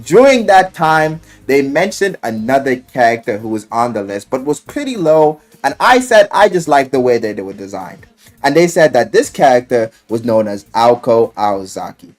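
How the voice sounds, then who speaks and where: Animated, slangy man over music. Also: mid-range voice, moderate pace. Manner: slangy, profane, rambling, emphatic. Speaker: YouTuber reviewing a visual novel. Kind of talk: casual, loosely scripted video commentary.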